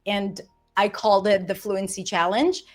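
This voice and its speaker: A woman's voice: mid-range voice, moderate pace. Israeli-accented woman telling her story. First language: Hebrew. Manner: enthusiastic, animated storytelling with restarts. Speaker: community founder, guest. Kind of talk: casual interview talk.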